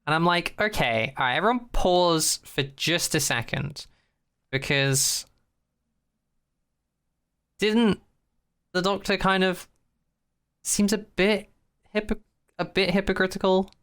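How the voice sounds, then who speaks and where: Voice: medium pitch, slow, long pauses, a bit bright, clear, resonant tone. A podcast host, chatting in a rambling, jokey, sweary recap and mockingly acting out quotes. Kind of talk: casual rambling recap chat.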